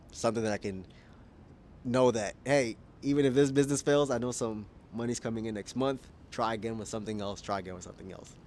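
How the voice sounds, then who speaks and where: A man's voice: mid-range voice, darkish tone, slight background noise. Candid young man musing aloud outdoors. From Kenya. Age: 20s. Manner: candid, musing, laughs at himself. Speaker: vlogger. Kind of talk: casual reflective monologue. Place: outdoors at dusk.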